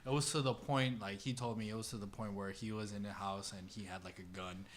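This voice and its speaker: A man's voice: lowish voice, fairly even intonation, fairly quick, slight background noise. A man telling family stories casually on a podcast, with slangy, profane wording and quoted dialogue acted out. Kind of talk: casual personal storytelling.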